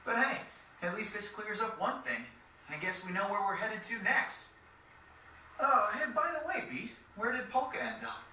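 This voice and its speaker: A man's voice: high-pitched, animated, normal speed, pushed and bright, exaggerated voice. A man voicing a cocky, plain-spoken teenage boy adventurer in a video game, casual and slangy. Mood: decisive, casual. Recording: very echoey, distant game capture with noise.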